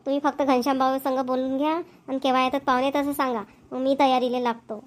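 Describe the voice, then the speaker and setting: A woman's voice: high voice, level tone, quick pace, lightly acted. A woman voicing a caring, persuasive middle-aged village mother, with a coaxing, motherly manner.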